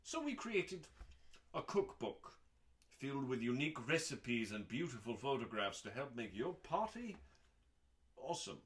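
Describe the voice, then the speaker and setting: A man with a slow, measured delivery reads aloud like an audiobook narrator. He treats plain instructional text as drama, pausing deliberately and leaning on chosen words. His pitch sits in an average male range. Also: sing-song. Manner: theatrical, deliberate, with pauses and emphasis. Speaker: host reading cookbooks aloud. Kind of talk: dramatic audiobook-style reading of recipes.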